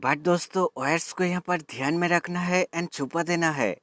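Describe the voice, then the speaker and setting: Male tutorial narrator, somewhat high voice, instructional, drops in English words, light music bed. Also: normal speed, a bit bright. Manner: instructional, addresses viewers as friends, mixes in English words. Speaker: tech reviewer giving an installation tutorial. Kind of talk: step-by-step product tutorial voice-over.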